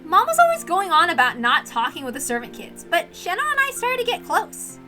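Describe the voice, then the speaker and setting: Woman playing high, brash teenage tomboy. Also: wildly swooping pitch, fairly quick, pushed and bright, faint music behind, full character voice. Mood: excited, fond.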